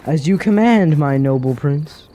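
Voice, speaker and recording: An actor playing a sly, teasing dreamer in a fantasy radio drama, with a sardonic, insinuating manner. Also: male voice, on the high side, expressive, a little slow, mellow. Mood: sly, mocking. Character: sly, teasing dreamer who needles the warrior prince.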